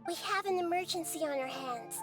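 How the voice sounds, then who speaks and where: Young goddess, very high voice, calm, soft-spoken, game scene, music behind. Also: female voice, a little fast. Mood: earnest. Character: gentle wise young goddess in girl form. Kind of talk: video game voice acting. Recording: game audio with background music.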